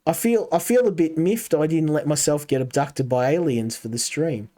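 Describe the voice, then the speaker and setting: A man's voice: fairly high pitch, normal speed, mellow. A man streaming a game, chatting casually and rambling while talking to his chat. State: coming down with a cold.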